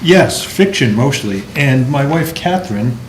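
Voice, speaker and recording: A man's voice: mid-range voice, lively intonation, measured pace, lightly acted. A man reads as a polite 1950s husband in a stage play. The manner is mild and placating. The sound is echoey, with faint noise. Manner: mild, apologetic. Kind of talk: amateur stage play table read.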